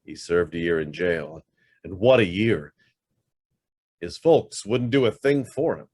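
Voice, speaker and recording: Guarded middle-aged businessman in a radio play, acted by a man; lively, swinging intonation, clipped and haunted by guilt. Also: deep voice, measured pace, slightly rough, exaggerated voice. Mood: uneasy, confessing. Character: guarded, brusque investment firm boss hiding a guilty past. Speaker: actor. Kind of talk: radio drama acting.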